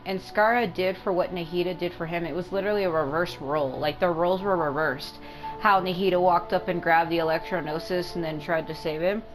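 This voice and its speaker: Woman, gaming streamer, lower pitch, casual reactions, clear game music underneath. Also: poor audio.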